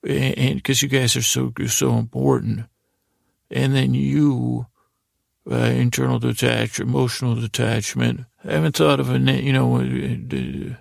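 A man hosting a bedtime podcast in a gravelly voice, with a meandering, halting, self-deprecating monologue. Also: mid-range voice, no echo, studio quality. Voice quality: very creaky, gravelly. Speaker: podcast host.

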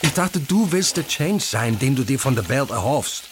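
A man's voice: mid-range voice, very animated, normal speed, lightly acted. A man playing a worried, pragmatic middle-aged father who admonishes his grown daughter in an audio drama. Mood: reproachful. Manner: admonishing, switches briefly into English. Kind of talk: audio drama acting, family argument. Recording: loud sizzling kitchen noise behind.

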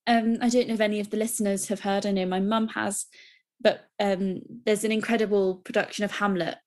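A woman's voice: mid-range voice, level tone, brisk, darkish tone. A young woman, co-hosting a podcast, talking enthusiastically and rambling with restarts. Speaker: podcast co-host. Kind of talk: casual chat.

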